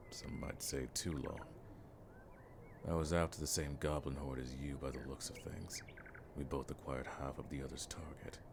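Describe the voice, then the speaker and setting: A man playing a weathered, world-weary veteran monster hunter in a fantasy audio drama, with a gravelly voice and a dry, wryly formal way of speaking. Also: very deep voice, background noise.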